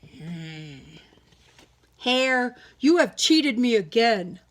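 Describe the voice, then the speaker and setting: A woman's voice: fairly high pitch, sing-song, exaggerated voice. Woman putting on a sleepy, grumbling storybook bear. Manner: groggy, grumbling, slow-witted. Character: sleepy, lazy, grumpy big male bear, easily fooled.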